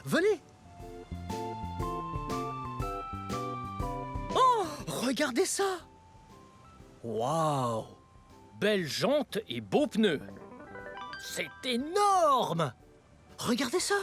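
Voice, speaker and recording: Excitable children's show host, hugely animated intonation, very unhurried pace, playful, exclamatory, simple teaching. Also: medium pitch, heavily acted. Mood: excited. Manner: exaggerated wonder, simple explanations, frequent giggles and gasps. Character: excitable, wide-eyed, cheerful children's show presenter, playful adult. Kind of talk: exclamatory educational presenting for young children. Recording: music behind the voice.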